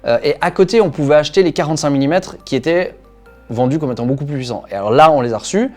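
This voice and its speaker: A man's voice: fairly high pitch, quick pace, darkish tone. A man co-hosting a motorcycle channel, explaining a product in a casual, enthusiastic way. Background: background music.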